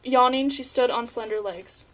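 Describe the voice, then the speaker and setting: A woman's voice: a little high, moderate pace, poor audio. A young woman reading scripted storybook narration, occasionally stumbling over her words. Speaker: puppet show narrator.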